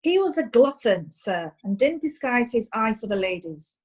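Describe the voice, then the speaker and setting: A plain-spoken farmer's wife voiced by a woman addresses the bench in an old-time courtroom audio drama. Her slightly high voice stays earnest and deferential. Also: sing-song, normal speed, darkish tone, breathy edge. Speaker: defendant.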